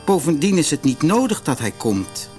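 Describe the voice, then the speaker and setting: A man voicing a gentle, ailing widowed mother in a storybook, weak, sighing and tender. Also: a little high, very animated, normal speed, soft background music, voice pushed up. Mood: weary, reassuring. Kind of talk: storybook character voice.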